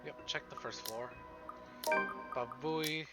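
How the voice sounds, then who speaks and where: A man giving casual, profane livestream commentary on a game, expressive and often talking to himself. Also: mid-range voice, slow. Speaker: streamer playing a visual novel. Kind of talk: casual livestream commentary with dramatic read-aloud of game text. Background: game music.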